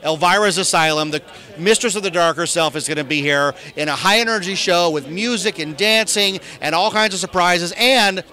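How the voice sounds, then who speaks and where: A man's voice: high voice, moderate pace, pushed and bright. A middle-aged American man from Southern California speaking like an enthusiastic master of ceremonies selling his event in an interview on a handheld mic. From Southern California, United States. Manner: enthusiastic, salesman-like. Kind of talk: promotional red-carpet interview. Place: outdoor press backdrop at a theme park at night. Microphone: handheld reporter microphone.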